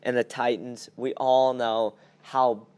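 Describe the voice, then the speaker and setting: A man's voice: mid-range voice, little pitch movement, takes it slowly, a little mellow, clean tone, no room sound. A young man hosting a podcast, chatting casually and giving blunt opinions on sports. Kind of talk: casual sports chat, game predictions.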